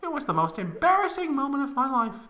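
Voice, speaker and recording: A woman's voice: high-pitched, big pitch swings, fast talker, bright, forceful tone, exaggerated voice. A woman playing a proud, melodramatic young woman recounting an embarrassing tale in a comedic fan fiction reading, overwrought and close to giggling. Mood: anguished, overwrought.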